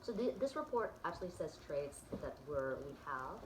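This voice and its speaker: Woman vlogger, casual chat, low voice, echoey noisy room. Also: sing-song, moderate pace. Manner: chatty, many ums and restarts. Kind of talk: casual chat, walking through results on screen.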